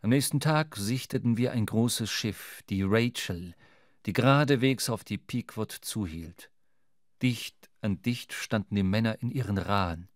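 A man's voice: fairly low pitch, measured pace, no room sound. Dry, close male narrator. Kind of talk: scripted literary narration for a radio drama.

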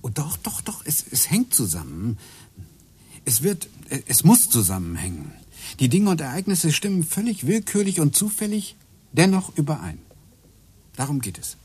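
A man's voice: fairly high pitch, very animated, normal speed, mellow, breathy edge, full character voice. An actor playing a middle-aged husband in a radio play, earnest and eager as he lectures his wife about hidden connections. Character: obsessive husband hunting coincidences.

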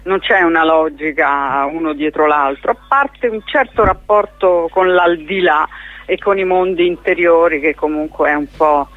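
A woman's voice: low voice, moderate pace, no room sound. A woman, speaking as a theatre-maker being interviewed on radio in a wry, self-ironic, conversational way, on a slightly dull recording. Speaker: playwright and theatre director, interview guest.

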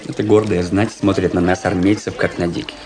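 A man's voice: sits low, flat delivery, brisk, crowd noise, close to an everyday voice. An actor playing a vain, embittered young military cadet in a radio drama, pompous and affected in his declamation. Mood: bitter, self-pitying. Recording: radio play with prominent music behind. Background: music.

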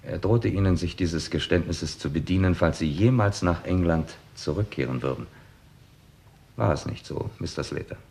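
Give the impression of a composed male detective in a sixties radio crime drama. His voice is fairly low, his formal and exact. He lays out a case methodically, pressing with rhetorical questions. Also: moderate pace, vocal fry, darkish tone. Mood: pressing, accusing. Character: confident male police detective laying out a case, probing and composed. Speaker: actor in a crime radio play. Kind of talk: 1960s German radio drama acting.

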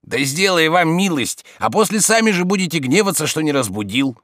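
A man's voice: fairly high pitch, big pitch swings, brisk, fairly bright tone, no echo, voice pushed up. A man performs a grumbling manservant in an audiobook tale. He nags in homely, colloquial phrases, dutiful but exasperated. The delivery moves up and down freely, with a close, dry sound. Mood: pleading. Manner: colloquial, folksy nagging. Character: nagging, grumbling male servant, exasperated yet dutiful.

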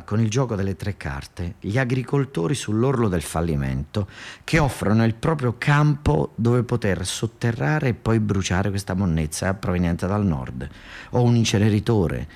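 A man with a southern Italian accent performing a satirical monologue, colloquial and emphatic, with dramatic pauses. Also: fairly low pitch, a little mellow. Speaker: actor-narrator. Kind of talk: satirical theatrical monologue, storytelling.

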